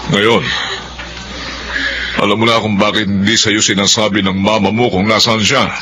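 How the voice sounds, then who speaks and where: A man with a Filipino accent playing a stern, protective uncle in a radio drama, speaking gravely and emphatically. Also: fairly low pitch, little pitch movement, moderate pace, pushed and bright, a little hiss or hum. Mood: grave, explaining. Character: stern, protective uncle breaking painful family news. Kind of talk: Philippine radio drama acting.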